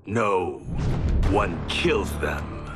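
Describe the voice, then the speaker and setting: Very deep, ruthless killer. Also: male voice, animated, drawn-out words, full character voice. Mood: cold menace. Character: ruthless, businesslike older male killer, a father. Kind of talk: cinematic video game villain acting. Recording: cinematic music underneath.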